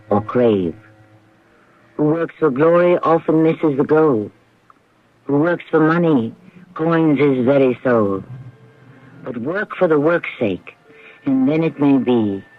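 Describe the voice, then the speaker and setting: A man narrating as a radio host, measured and rhetorical, in dramatic scripted style. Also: mid-range voice. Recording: dull lo-fi old radio broadcast. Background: music.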